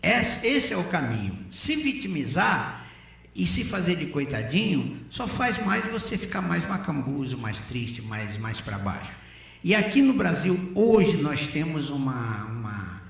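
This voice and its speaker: An older man speaks in a high-pitched, gravelly voice. He talks conversationally on the radio, stressing his points by repeating phrases. The sound is heavily reverberant, as in a very echoey room. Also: sing-song, a little slow. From Northeast Brazil. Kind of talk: opinionated conversational radio talk.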